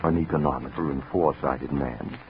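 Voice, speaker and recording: An actor playing a sinister, urbane narrator of eerie tales, ominous and wry, in a 1940s radio horror style. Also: male voice, very deep voice, steady tone, brisk. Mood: grave narration. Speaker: host and narrator. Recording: noisy, narrow-band 1940s radio recording.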